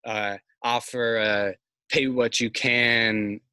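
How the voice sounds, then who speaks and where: A man in his thirties speaks over a video call from outside his house, his voice bright and forward with a dry, close sound. He talks slowly and thoughtfully, stopping often with fillers and restarts as he works through an idea. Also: mid-range voice, level tone, clear, resonant tone, no room sound. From Massachusetts. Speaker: farmer, interview guest. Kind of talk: casual video call conversation. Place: outdoors by a house's siding. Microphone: video call, laptop or phone mic.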